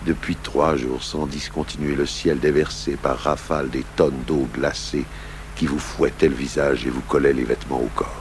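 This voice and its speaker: Seasoned police commissioner, extremely deep, reflective storyteller for radio drama, slight hiss from 1980s tape. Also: male voice, fairly even intonation. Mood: reflective, sombre. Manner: measured, reflective, literary phrasing. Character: seasoned male police commissioner recalling an old case, measured. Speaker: narrator and lead actor. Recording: hissy 1980s radio recording, low hum.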